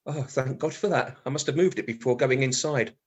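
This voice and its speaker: An actor with a British accent playing a wry, grumbling husband with a husky voice in a radio comedy. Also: male voice, medium pitch, brisk, dull audio, close to an everyday voice. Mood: startled, envious. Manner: sardonic, grumbling, exclamatory. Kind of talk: radio play comedy acting, muttered monologue.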